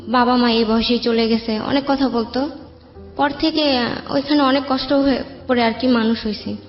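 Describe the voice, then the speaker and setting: A woman with a Bangladeshi accent tells her own life story as a guest in an interview. Her voice sits a bit high. It sounds slightly muffled in an echoey space, with soft music underneath. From Bangladesh, raised in Dhaka.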